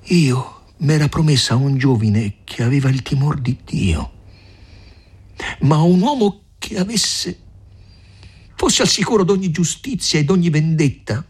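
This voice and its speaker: A man voicing a pleading young peasant woman from a storybook, timid and sobbing, with a breathy voice. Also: on the high side, very animated, measured pace, long pauses, darkish tone, pitched above the performer's own voice, exaggerated voice. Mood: hurt, resolute.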